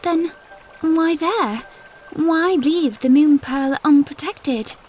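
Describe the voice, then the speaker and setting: An actress playing a young, inquisitive princess in an audio drama, speaking in an earnest, questioning way. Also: female voice, high-pitched, takes it slowly, a little mellow, soft background music. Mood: frustrated.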